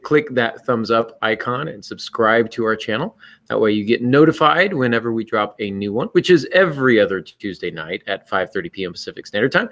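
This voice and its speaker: A man's voice: medium pitch, a bit bright. A man hosting a podcast, chatting casually with a bantering, joking manner. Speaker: podcast host. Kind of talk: casual live podcast chat.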